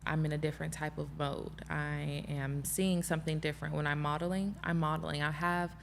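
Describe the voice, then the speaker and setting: Bubbly low-voiced woman guest. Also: flat delivery, fairly quick, gravelly, slight background noise. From American Midwest. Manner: bubbly, upbeat, confident. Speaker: podcast guest, model and creative director. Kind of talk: casual interview chat.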